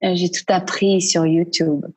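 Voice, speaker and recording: A woman from Quebec answering interview questions casually and hesitantly, searching for words, with a husky voice. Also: on the low side, level tone, a little slow, a little mellow. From Quebec, Canada. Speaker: guest, street artist. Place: at home in her dining room.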